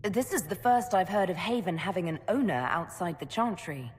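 Actress as cool fantasy heroine: low, dry, wry; game audio, faint ambience. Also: female voice, expressive, moderate pace, darkish tone, big-room sound, slight background noise, natural voice. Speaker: game protagonist.

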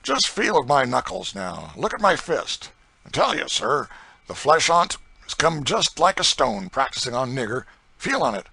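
A man voicing a brutal, boastful planter with a rural Southern accent, loud and swaggering. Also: mid-range voice, sing-song, normal speed, creaky, breathy edge, no echo, voice pushed up, heavily acted. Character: brutal boastful male slave-driving planter.

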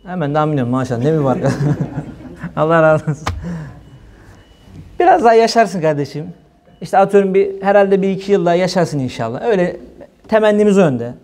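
High-pitched male preacher. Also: a little fast, long pauses, slight background noise. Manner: animated, colloquial, rhetorical questions. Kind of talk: informal religious sermon. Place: small room indoors.

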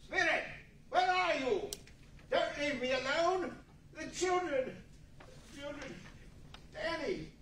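A man playing an anxious, halting, pleading old miser in a 1940s-style radio play. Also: very high-pitched, animated, heavily acted. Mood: frightened. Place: large meeting hall before an audience. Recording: distant echoey room with faint noise.

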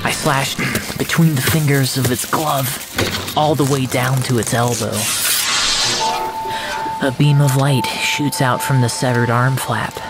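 Guy playing a solemn storyteller for a weird fiction podcast, super slow and literary, music really loud behind him. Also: medium pitch, fairly even intonation, poor audio. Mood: solemn, intense. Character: solemn first-person narrator of a surreal battle. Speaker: narrator. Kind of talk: scripted surreal fiction narration.

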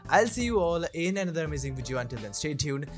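Male tech presenter, slightly high voice, upbeat scripted tutorial delivery, light music behind. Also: sing-song, quick pace.

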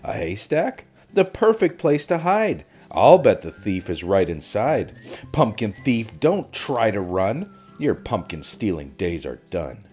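A man's voice: lowish voice, wildly swooping pitch, slow, a little mellow, slightly rough, soft background music, full character voice. A man voicing an overconfident papa bear detective from a storybook, boastful and emphatic. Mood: excited, threatening.

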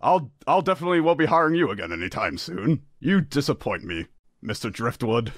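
A man's voice: medium pitch, sing-song, fairly quick, no echo, studio quality. A man voicing a stern, pompous, foul-mouthed father in an improvised comedy dub. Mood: disappointed, pompous. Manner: pompous, curt, swearing. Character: stern, foul-mouthed upper-class father dismissing his daughter's suitor.

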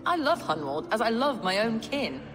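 An actress playing a dignified, earnest medieval abbess in a video game, speaking formally and solemnly. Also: female voice, fairly high pitch, sing-song, moderate pace. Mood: earnest counsel.

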